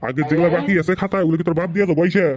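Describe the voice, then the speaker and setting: A performer playing a gruff adult tea-stall keeper in a comedy cartoon, blunt, mocking and scolding. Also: mid-range voice, steady tone, very fast, heavy background noise, close to an everyday voice.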